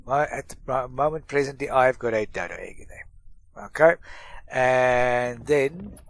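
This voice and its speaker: A man's voice: mid-range voice, measured pace, no echo. A middle-aged man with a stuffy cold, hosting a casual gameplay tutorial and chatting through it with self-mocking asides. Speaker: gaming channel host.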